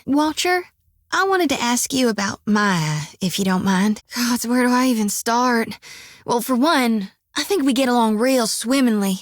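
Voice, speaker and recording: A folksy young death priest. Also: female voice, a little high, dry recording. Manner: folksy rural drawl, earnest and teasing. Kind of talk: video game voice acting. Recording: dry studio recording.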